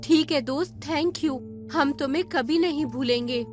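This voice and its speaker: Woman acting female space explorer, high-pitched, determined, earnest, animated story, prominent background music. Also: a little slow. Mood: grateful. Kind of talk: acted story dialogue. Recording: music behind the voice.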